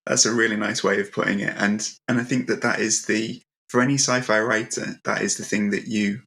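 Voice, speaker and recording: A man hosting a conversational interview, speaking politely and choosing his words with some halting. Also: fairly low pitch, steady tone, gravelly, bright, forceful tone.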